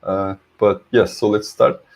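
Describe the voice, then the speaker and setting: Turkish-accented middle-aged man, lecturer on a video call, friendly, halting, a touch of vocal fry. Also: on the low side, fairly even intonation. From Turkey. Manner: hesitant, many fillers, friendly. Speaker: conference speaker, university lecturer. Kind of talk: online tech talk presentation.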